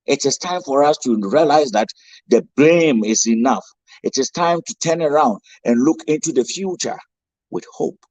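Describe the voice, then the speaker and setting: Ghanaian guy giving a fired-up speech, mid-pitched voice, dry close sound, lots of repeating himself. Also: normal speed, darkish tone, no room sound. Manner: rousing, rhetorical questions and repetition. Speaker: guest speaker. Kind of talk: impassioned speech.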